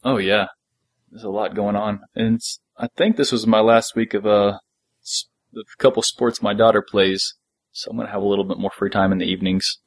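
A man's voice: lowish voice, steady tone, fairly quick, no echo. A middle-aged man chatting casually as a podcast co-host, laid-back and appreciative, sometimes reading out listener messages.

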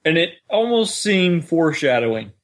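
A man's voice: fairly high pitch, very animated, moderate pace. A man co-hosting a fan podcast in casual, conversational chat, often slipping into quoted lines. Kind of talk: casual chat about an audio drama.

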